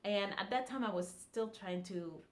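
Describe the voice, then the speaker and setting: A woman's voice: mid-range voice, moderate pace. A woman, chatting casually to camera as a vlogger with lots of fillers and restarts. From France. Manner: relaxed, conversational, many fillers and restarts.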